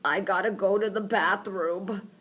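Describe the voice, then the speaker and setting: A woman voicing a whiny teenage boy with a nasal, stuffed-up voice, fretting in a slow, drawn-out way. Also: mid-range voice, fairly even intonation, a bit bright, husky. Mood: whining. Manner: whining, panicky.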